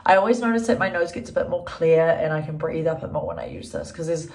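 A woman's voice: deep voice, huge pitch swings, fast talker, creaky, darkish tone. Blocked-up New Zealand woman in a bathroom. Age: young adult. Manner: chatty, lots of "like" and "um". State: blocked-up nasal voice. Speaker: vlogger. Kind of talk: casual vlog to camera. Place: small bathroom indoors. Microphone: phone camera at arm's length. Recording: echoey bathroom.

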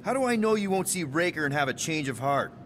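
A man's voice: fairly high pitch, very animated, fast talker, slight background noise. A man playing a young, exasperated space-outlaw leader in a video game, talking in a casual, wry way. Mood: wary. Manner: informal, wry. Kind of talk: conversational video game acting.

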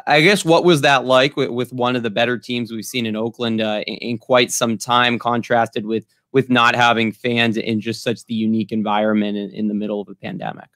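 A man's voice: mid-range voice, steady tone, brisk, hardly any pauses, a bit bright, no room sound, studio quality. A young man from Northern California with an American accent, hosting a sports interview over a video call with hesitant, wordy questions. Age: young adult. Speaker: sports show host, interviewer. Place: small room at home, indoors.

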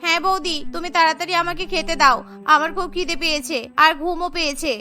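A woman plays a girlish young cartoon sister-in-law, cheeky and complaining. The voice is very high and bright, with music behind it. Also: steady tone, quick pace. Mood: concerned, impatient. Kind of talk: cartoon voice acting.

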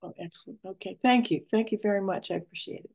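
Mellow, creaky older woman. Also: medium pitch, fast. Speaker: discussion participant. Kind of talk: casual, polite question. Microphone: computer mic on video call.

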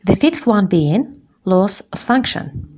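A woman from Algeria, a gynecologist, giving a scripted medical lecture as a voice-over on slides, measured and precise. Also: medium pitch, sing-song, darkish tone. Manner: measured, deliberate, precise enumeration.

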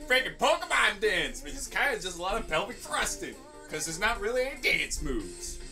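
A man's voice: high-pitched, fairly quick, over music. A young man giving casual, joking reaction commentary into a desktop microphone in his bedroom. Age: young adult. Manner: animated, joking. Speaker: reaction video host. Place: small cluttered bedroom. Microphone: desktop condenser microphone.

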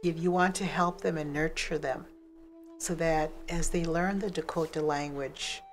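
An older woman speaks in a low voice at an unhurried pace. She is thoughtful and pauses often, with soft music behind her. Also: steady tone. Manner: thoughtful, hesitant with many pauses. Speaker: interviewee, language advocate. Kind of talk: reflective interview. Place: living room, indoors.

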